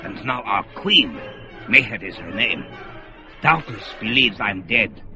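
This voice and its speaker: A fretful old sorcerer. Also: male voice, on the low side, lively intonation, a little slow. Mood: worried. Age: older. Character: aged male sorcerer, fretful, proud, feuding with his son. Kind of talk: old-time radio drama acting. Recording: old radio recording with music score.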